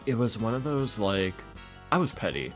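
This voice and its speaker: A young man chatting casually as a podcast guest, slangy, animated and jokingly exasperated about himself. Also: lowish voice, normal speed, music in the background. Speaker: ASMR roleplay audio creator, podcast guest.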